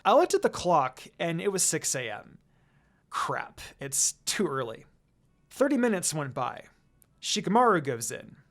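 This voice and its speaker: A fan-fiction reader narrating a first-person story in a dramatic, expressive way. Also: medium pitch, unhurried.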